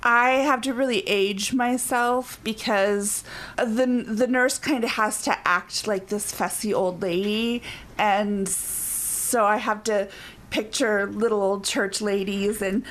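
A woman stage actor chatting as a guest in a lively, giggly way. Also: mid-range voice, takes it slowly. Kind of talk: casual interview chat.

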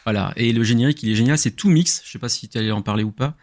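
A man explaining things casually as a guest, halting and repeating words as he goes. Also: medium pitch.

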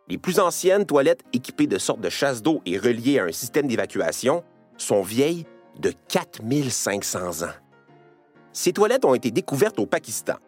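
A man narrating a scripted educational piece for children, playfully addressing the listener. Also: mid-range voice.

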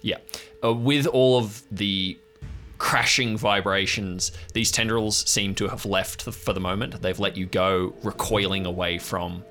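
A man narrating an improvised audio drama scene as game master, halting and deliberate, pausing mid-sentence. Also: lowish voice, steady tone, pushed and bright, soft background music.

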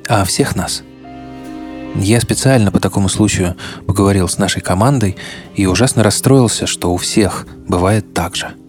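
A man's voice: deep voice, moderate pace, darkish tone, soft background music. A man hosting a podcast with scripted narration that speaks straight to the listener. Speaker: podcast host.

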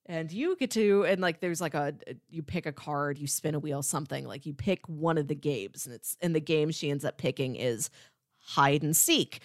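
A woman co-hosting a podcast in a casual, informal chat, describing things in a conversational and animated way. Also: sits low, moderate pace, no echo, clean studio sound. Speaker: podcast co-host. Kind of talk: casual chat, pitching movies.

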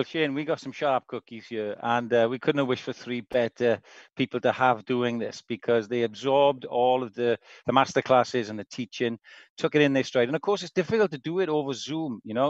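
A man's voice: medium pitch, fairly quick, no room sound. Male podcast host, eager and upbeat, dry dull call audio. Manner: enthusiastic, talks up guests. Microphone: video call.

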